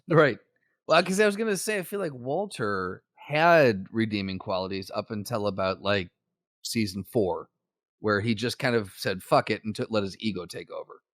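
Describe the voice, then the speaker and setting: A man's voice: fairly low pitch, normal speed, no echo, studio quality. A man co-hosting a podcast, chatting casually, swearing freely and joking as he argues a point. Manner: profane, animated, joking. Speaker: podcast co-host. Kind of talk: casual chat.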